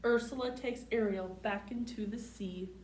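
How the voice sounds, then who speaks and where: A woman's voice: a little high, fairly even intonation, normal speed, a little mellow. A woman hosting a children's storytime, reading picture books aloud expressively and putting simple questions to young listeners. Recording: roomy, distant mic, faint background noise.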